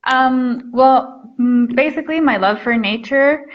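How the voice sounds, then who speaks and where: A woman's voice: high-pitched, unhurried. A young woman from Mexico, a guest artist, answering interview questions in an easygoing way with many ums. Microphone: video call. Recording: dull muffled call audio.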